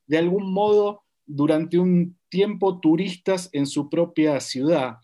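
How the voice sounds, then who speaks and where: Host guy, kinda high voice, long winding questions. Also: a little mellow. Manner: articulate, long framing questions. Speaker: podcast host interviewer. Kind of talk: interview questions and scripted intro.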